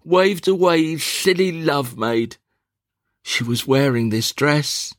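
A man voicing a fussy, fretful middle-aged mother in a one-man reading of a stage play, shrill and prone to outbursts. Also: lively intonation, slow, dry recording, studio quality. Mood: agitated.

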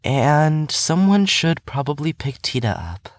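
Tired, practical young male patient for audio drama, played by a man: slow, measured, dry close sound. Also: a little high, expressive, no echo. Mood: earnest.

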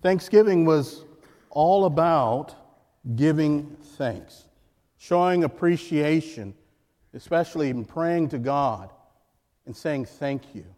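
A man with a loud voice preaching a guest sermon in church, emphatic and repeating key phrases while calling on the congregation to answer. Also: on the high side, slow. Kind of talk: sermon with jokes and call-and-response. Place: church sanctuary. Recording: long church hall reverb.

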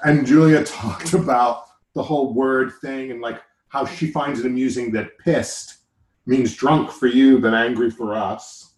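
A man's voice: mid-range voice, fairly even intonation, measured pace, reverberant, rough recording. A man with an American accent, hosting a casual chat in a joking, rambling way that often interrupts itself. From United States. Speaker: podcast host.

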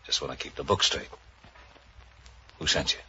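An actor playing a suspicious, bullying ship's captain in a 1940s radio crime drama, gruff, curt and commanding. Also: male voice, normal speed, sharp tone. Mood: cold, menacing. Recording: dull, noisy old radio recording.

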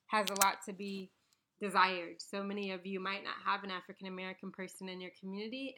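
A woman's voice: mid-range voice, sharp tone, a little hiss or hum. A woman from the Bahamas hosting her own podcast from home, talking in an earnest, reflective, conversational way. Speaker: podcast host. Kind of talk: casual solo podcast talk with a read-aloud quote. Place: home, recorded around napping children.

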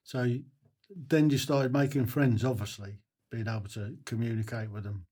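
A man interviewing casually, asking questions in a halting, hesitant way that tends to trail off. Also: medium pitch, flat delivery, a little mellow, breathy edge.

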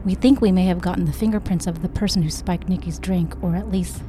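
A woman's voice: on the low side, brisk, warm tone, a little hiss or hum, natural voice. A woman voicing a confident, plain-spoken young granddaughter in an audiobook, assured and matter-of-fact. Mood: earnest.

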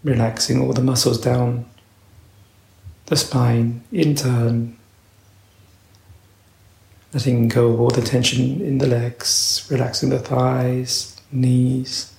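A man leading a guided meditation as a teacher, speaking in a slow, measured way with long pauses. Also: medium pitch, little pitch movement, gravelly, a little mellow, reverberant.

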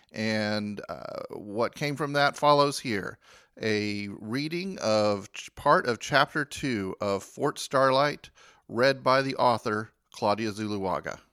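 A man's voice: medium pitch, a bit bright, clean tone, no room sound, clean studio sound. A man hosting a podcast, delivering a prepared introduction. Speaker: podcast host.

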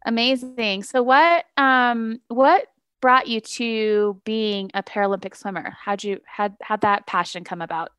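A woman's voice: on the high side, a little slow, a bit bright, smooth, no room sound. A woman hosting a podcast, asking her guest questions with enthusiastic, encouraging interest. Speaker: podcast host.

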